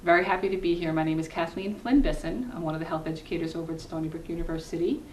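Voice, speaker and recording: A woman's voice: fairly low pitch, racing, a little mellow. A woman health educator speaking as an interview guest and introducing a program. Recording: echoey room, distant mic, faint hiss.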